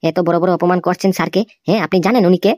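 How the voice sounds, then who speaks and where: A woman's voice: on the low side, fairly even intonation, rapid-fire, darkish tone, dry recording, studio quality, close to an everyday voice. A woman voicing an indignant, fiercely loyal cartoon employee, insistent and confrontational, full of tag questions. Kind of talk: amateur cartoon dialogue.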